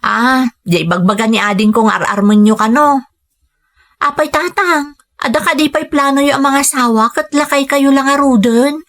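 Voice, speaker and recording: A woman's voice: sits high, normal speed, fairly bright tone. A Filipino woman playing a hardworking, self-sacrificing daughter who works abroad as a maid in an Ilocano radio drama. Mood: questioning, worried.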